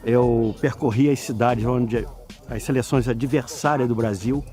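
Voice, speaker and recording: A man's voice: mid-range voice, steady tone, measured pace, mellow, soft background music. A man recounting his long involvement with the team in a matter-of-fact documentary interview. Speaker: interviewee, longtime observer of the team.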